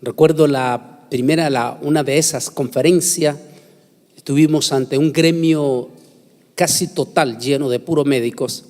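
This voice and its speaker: A man preaching in a solemn, emphatic sermon-lecture style with deliberate phrasing, recorded in an echoey hall. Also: on the high side, steady tone, a little mellow. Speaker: preacher giving a conference talk. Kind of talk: sermon lecture with scripture reading and prayer. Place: large hall, speaking to a congregation. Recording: echoey hall with long reverb.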